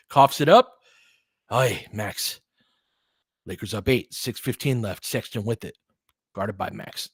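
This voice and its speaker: A man's voice: mid-range voice, husky, no echo, clean studio sound. Man calling basketball play-by-play. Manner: excited bursts with quick laughs. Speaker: play-by-play commentator. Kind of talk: fan play-by-play commentary.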